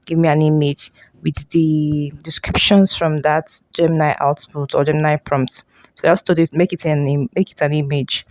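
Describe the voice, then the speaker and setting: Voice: medium pitch, little pitch movement, moderate pace, darkish tone, no room sound. An informal tutorial host with a mid-range voice. They explain step by step and often repeat or reword a phrase. Music plays behind the talk.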